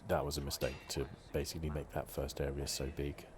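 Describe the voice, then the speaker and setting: A man giving soft, relaxed ASMR commentary over a video game. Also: very deep voice, quick pace. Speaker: gaming channel host. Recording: clear background noise. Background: game ambience.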